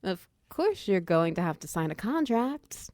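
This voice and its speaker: A woman voicing a friendly, chatty female minotaur wizard who mentors an apprentice in a roleplay ASMR style, encouraging and gently instructive. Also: mid-range voice, very animated, measured pace, no room sound, clean studio sound. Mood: pleased, businesslike.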